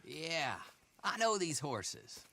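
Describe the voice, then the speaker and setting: An actor playing a cocky, shifty backwoods horse dealer with a Southern drawl and a mocking, folksy manner in a game cutscene. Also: male voice, a little high, very animated, takes it slowly, vocal fry, bright, forceful tone, slight background noise, exaggerated voice. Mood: smug haggling. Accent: American South. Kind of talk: video game cutscene acting.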